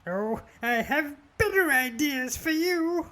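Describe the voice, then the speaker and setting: A woman's voice: high voice, expressive, slow pace, fairly bright tone, a little hiss or hum, heavily acted. A woman voicing a scheming, mocking moth-man villain in a theatrical cartoon style. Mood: gloating. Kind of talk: animated fight-show character acting.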